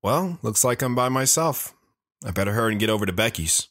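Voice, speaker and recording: A man's voice: on the low side, expressive, a little fast, fairly bright tone. Man playing an earnest young narrator. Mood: plain. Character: Travis, earnest awkward teenage boy telling his story. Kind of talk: first-person dramatic narration with acted dialogue.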